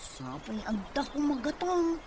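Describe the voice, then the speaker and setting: A woman's voice: high-pitched, wildly swooping pitch, a little slow, noisy background, heavily acted. A woman playing a grumbling young student who helps reluctantly on the farm in an Ilocano radio drama.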